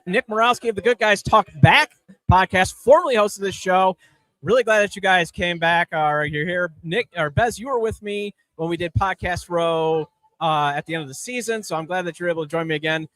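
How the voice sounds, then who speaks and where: High-pitched male sports podcast host. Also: brisk, a bit bright, no room sound. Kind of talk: podcast hosting and ad reads.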